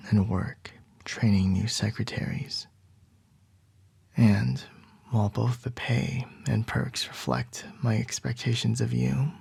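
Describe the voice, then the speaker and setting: Man doing CEO boss, ASMR roleplay, domineering, whispery, raspy, slow. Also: dry recording, heavily acted. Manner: soft low whispering, measured and domineering. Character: commanding young male CEO boss, smooth and controlling.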